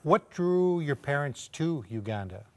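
A man's voice: on the high side, lively intonation, a little slow. A man in his fifties hosting a TV talk show, interviewing a guest on a studio set with leading questions that sum up the story. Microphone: lapel mic.

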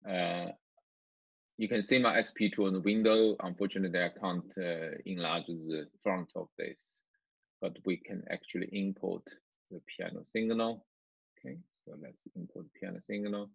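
A man with a fairly low voice gives a screencast tutorial in non-native English. He explains each step informally and haltingly, often repeating a phrase. The sound is very dry and close, with a dull, lo-fi tone. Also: fairly even intonation, vocal fry, slightly rough, dry recording. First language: not English. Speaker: university lecturer. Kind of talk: screencast software tutorial, semi-improvised explanation. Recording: dull, muffled lo-fi microphone.

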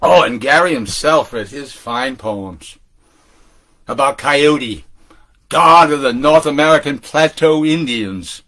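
An older man performing a theatrical monologue like a beat storyteller, animated and halting, with drawn-out words. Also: mid-range voice. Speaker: solo performer.

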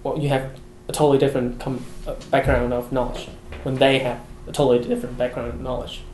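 A man's voice: level tone, fast. Young man talking in an echoey room. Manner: thoughtful, gestures, laughs softly. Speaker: international student. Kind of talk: casual interview. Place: college room by windows. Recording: echoey room with faint rumble.

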